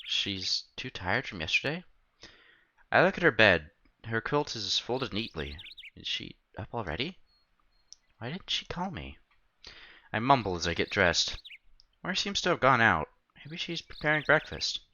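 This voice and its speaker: Animated man reading a visual novel aloud. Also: fairly low pitch, a little slow, pauses often, lo-fi sound, a little hiss or hum. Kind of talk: expressive read-aloud narration of a visual novel.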